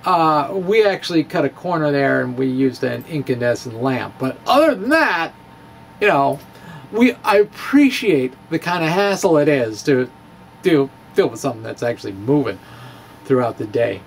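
A man's voice: medium pitch, expressive, normal speed. A middle-aged man reading his blog aloud dramatically into a close microphone, with informal storytelling asides. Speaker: physics blogger. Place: small indoor room with bookshelves. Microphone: handheld-style microphone on a stand, close in front. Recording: clear background room noise.

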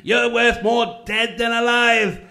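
A man's voice: extremely high pitch, fairly even intonation, takes it slowly, vocal fry, sharp tone, full character voice. A man playing a sneering, cackling old banker. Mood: gloating. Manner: mocking, cackling, contemptuous. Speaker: community theatre actor. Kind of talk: amateur radio play acting.

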